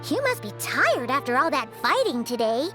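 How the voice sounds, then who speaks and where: A woman voicing a small, excitable, cheeky fairy-like girl companion in a video game, with a squeaky childlike voice. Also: moderate pace, soft background music, full character voice. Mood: curious, chatty. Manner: exclamatory, refers to herself in third person. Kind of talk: animated video game voice acting.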